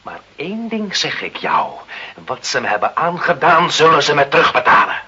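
An actor playing a proud, vengeful Italian husband and father, rough-voiced and intense, in a Dutch radio drama. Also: fairly high pitch, huge pitch swings, moderate pace, heavily acted. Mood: brooding, vengeful. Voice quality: husky, rough. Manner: intense, brooding, forceful. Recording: dull, lo-fi old radio play recording.

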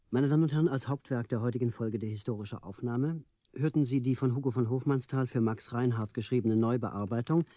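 A man with a very dark, mellow voice announces on public radio. He reads formally from a script with careful enunciation, in a close, dry studio sound. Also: medium pitch, no room sound.

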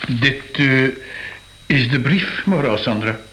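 A man's voice: medium pitch, lively intonation, takes it slowly, fairly bright tone, a little hiss or hum, low-quality recording. A man playing a courteous, thoughtful museum curator in a radio drama, with polite, slightly hesitant phrasing. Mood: polite, reflective.

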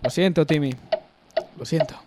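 A man's voice: on the high side, moderate pace, heavy background noise, close to an everyday voice. A man playing an earnest, reassuring saviour announcer in a comic radio promo sketch. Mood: consoling. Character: helpful radio-advert saviour addressing little Timmy. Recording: loud music over the voice.

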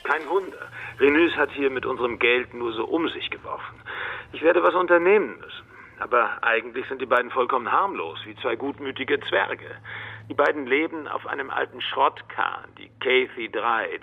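A man playing a matter-of-fact ex-detective in a radio play, with a rough, husky voice. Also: medium pitch, lively intonation, moderate pace, narrow, tinny audio. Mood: explaining, casual. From Netherlands. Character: male Dutch ex-detective reporting by phone, matter-of-fact.

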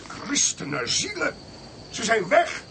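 An actor playing a blunt, commanding military intelligence chief in a radio drama, speaking in clipped, authoritative phrases. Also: male voice, very high voice, huge pitch swings, slow, sharp tone, slight background noise, exaggerated voice. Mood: dismayed, insistent. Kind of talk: Dutch radio drama acting.

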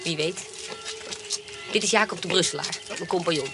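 An actress playing a self-assured, decisive and protective aunt and adventuress in a Dutch radio drama. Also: female voice, fairly low pitch, normal speed, over music, close to an everyday voice. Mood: brisk, firm. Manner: decisive, commanding.

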